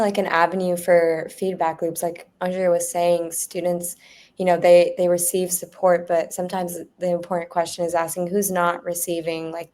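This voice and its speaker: Young woman on a panel, kinda low voice, barely moves in pitch, just talking it through. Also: dark tone. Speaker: student board representative, podcast guest. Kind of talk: conversational panel discussion.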